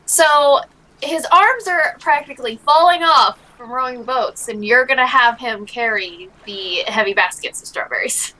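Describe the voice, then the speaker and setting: A woman's voice: sits high, moderate pace, bright, forceful tone, poor audio. A woman co-reading a game in a let's play, chatting casually between the lines. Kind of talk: casual chat and character reading. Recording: music behind the voice.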